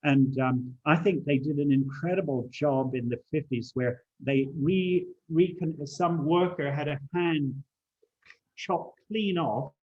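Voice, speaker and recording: A man in his seventies who grew up in China, recalling his life in relaxed talk with many fillers and restarts over a video call. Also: on the high side, takes it slowly, husky, dull audio. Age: 70s. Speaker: guest speaker. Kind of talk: relaxed conversational recollection.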